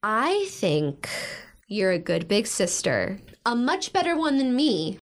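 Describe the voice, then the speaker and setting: An actress playing a blunt, sarcastic teenage older sister in a modern audio drama, with a teasing, sardonic manner. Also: female voice, mid-range voice, sing-song, takes it slowly. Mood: subdued, sincere.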